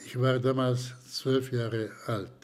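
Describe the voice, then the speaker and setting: An older man from Slovakia, giving slow, hesitant testimony in an interview with many pauses and restarts. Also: mid-range voice, flat delivery. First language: German as childhood language, long unused.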